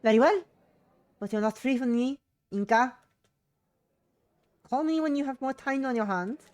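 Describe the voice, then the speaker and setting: An emphatic Russian mother played by a woman frets and scolds in a high, loud voice. Her accent is thick and put-on, her manner nagging and doting, as in an amateur audio drama. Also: normal speed, lightly acted. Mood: scolding. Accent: exaggerated put-on Russian.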